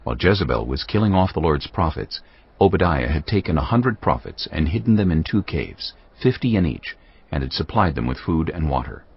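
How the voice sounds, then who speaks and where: A man's voice: extremely low pitch, sing-song, fairly quick, slightly rough, highs cut off. A man narrating scripture as a scripted drama, in a solemn storytelling manner.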